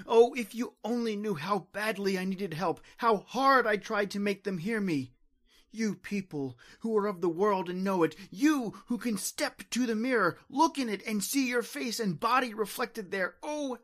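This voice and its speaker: A woman playing a desperate, terrified invisible widowed soul, dramatic and halting, in an old-time radio horror monologue. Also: medium pitch. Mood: anguished pleading. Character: desperate, terrified invisible widower trapped between life and death.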